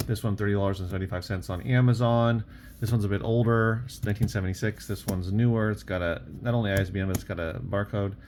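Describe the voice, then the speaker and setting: Man, low voice, casual vlog chat, offhand, thinking aloud, noticeable background noise. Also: steady tone, fast, darkish tone. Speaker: online reseller and bookstore owner, vlog host.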